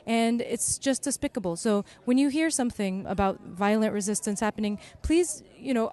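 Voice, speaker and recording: A woman's voice: mid-range voice, darkish tone. A woman speaking as a vigil organizer, making an earnest, articulate and persuasive statement. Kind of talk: earnest spoken interview statement.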